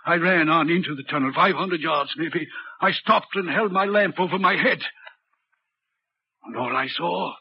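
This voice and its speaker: A man's voice: on the high side, normal speed, lightly acted. An actor playing a troubled railway signalman who tells of an apparition with dramatic intensity, in 1940s radio drama style. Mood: haunted, urgent. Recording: muffled, noisy 1940s radio recording.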